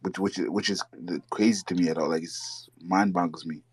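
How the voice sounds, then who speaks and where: A man's voice: sits low, steady tone, brisk, slightly rough, dry recording. A man chatting as a podcast guest, rambling with many fillers and restarts. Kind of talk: casual podcast chat.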